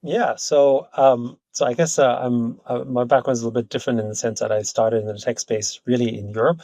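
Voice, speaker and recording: A man from Austria, a company founder as interview guest, talking in a relaxed, rambling way with many fillers. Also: mid-range voice, a little fast, dry recording. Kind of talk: casual interview talk. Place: Hong Kong.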